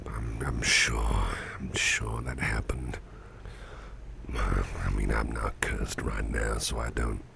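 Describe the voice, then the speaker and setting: Man acting a sleepy tipsy boss, extremely low voice, heavy vocal fry, breathy murmur, halting, gentle, ASMR roleplay, noisy background. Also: level tone, heavily acted. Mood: tipsy, affectionate. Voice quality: breathy, half-whispered gravelly rumble. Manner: halting, rambling, sleepy delivery. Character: drowsy, tipsy, gentle businessman boss, confiding and affectionate.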